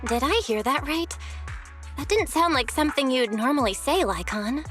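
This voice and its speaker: A woman's voice: sits high, animated, normal speed, fairly bright tone, soft background music. A woman playing a friendly, perceptive young woman in a video game, casual and direct. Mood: curious, sincere. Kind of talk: scripted video game voice acting.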